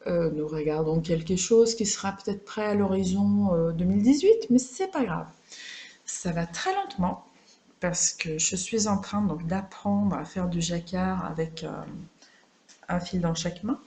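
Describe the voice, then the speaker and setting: A woman chatting casually and without a script on a personal craft vlog, reflective and self-deprecating, often pausing to find her words. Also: on the low side, expressive, warm tone. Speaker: craft vlogger.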